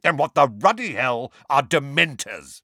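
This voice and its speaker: A man's voice: low voice, sing-song, measured pace, slightly rough, dry recording, studio quality, full character voice. Man putting on a blustering, furious middle-aged uncle. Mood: angry, blustering. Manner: loud, bullying, explosive bluster. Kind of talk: audiobook character voice.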